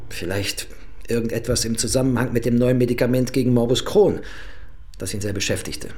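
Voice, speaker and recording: A man's voice: medium pitch, fairly quick, darkish tone, natural voice. A man acts a touchy professor under questioning in a radio play. His mid-range voice is pompous and guarded. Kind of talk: radio drama acting.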